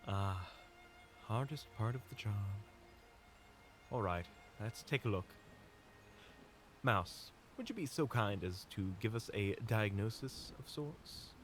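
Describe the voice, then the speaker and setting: A man playing a courteous, lively-voiced gentleman hunter. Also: lowish voice, faint music behind. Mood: polite, calm. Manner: polite, measured, genteel. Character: courteous, diplomatic, smooth-talking monster hunter named Swan. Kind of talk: fantasy audio roleplay acting.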